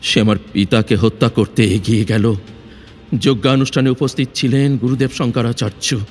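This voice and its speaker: Guy acting a valiant young prince, mid-pitched, solemn and formal, big reverb, bit of music behind. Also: steady tone, normal speed, natural voice. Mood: solemn, earnest. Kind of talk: fairy-tale audio drama acting.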